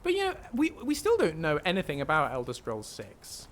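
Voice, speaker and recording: Animated man rambling on a game stream. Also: on the high side, brisk. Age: younger than 50. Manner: rambling, thinking aloud. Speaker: livestreamer, mod creator and voice actor. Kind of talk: casual livestream chat while playing a game. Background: video game music and character dialogue.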